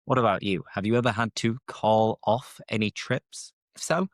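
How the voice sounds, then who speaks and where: A man's voice: fairly low pitch, steady tone, normal speed, dry recording, studio quality. A British man hosting an English-learning podcast, chatting casually off the top of his head and explaining phrases as he goes. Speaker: English teacher and podcast host. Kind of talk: casual unscripted teaching monologue.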